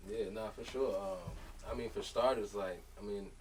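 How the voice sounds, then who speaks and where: A man from Lowell, Massachusetts, a podcast guest talking in casual slang and often checking in with the listener. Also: steady tone, moderate pace, far from the mic, low-quality recording. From born in Liberia, raised in Lowell, Massachusetts. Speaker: podcast guest, music artist. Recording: off-mic with faint room noise.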